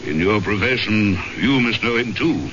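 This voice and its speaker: A man acting a big, courteous English gentleman adventurer with hidden menace in an old radio drama, suave and measured. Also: on the low side, expressive. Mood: suave, ominous. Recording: muffled, noisy old radio broadcast.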